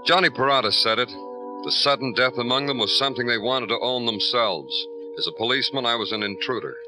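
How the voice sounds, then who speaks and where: An American man acting a weary, brooding police detective, narrating a 1950s radio drama in terse, deadpan, poetic lines. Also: medium pitch, brisk, natural voice, poor audio. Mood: grim, brooding. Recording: vintage radio recording with music behind.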